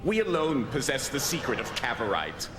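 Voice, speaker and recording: A defiant, arrogant fortune hunter played by a man, with a mid-pitched, highly animated voice. He declaims sardonically in radio drama style, ringing in a roomy echo. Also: moderate pace, close to an everyday voice. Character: brash, arrogant, greedy businessman adventurer. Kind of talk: old-time radio drama acting. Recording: echoing hall with music behind.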